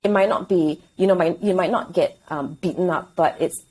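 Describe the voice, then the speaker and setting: A woman in her thirties from Singapore, talking as a podcast guest. Her voice is mellow and slightly low, and she speaks reflectively, pausing and correcting herself as she goes. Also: husky, rough recording. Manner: reflective, hesitant, self-correcting. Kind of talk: casual interview.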